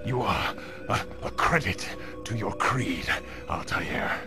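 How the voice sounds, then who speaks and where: An actor playing a scornful, self-assured Templar grandmaster in a video game, theatrical and condescending. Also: male voice, lowish voice, lively intonation, slow, music in the background. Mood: weakened, grave. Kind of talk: scripted video game cutscene acting.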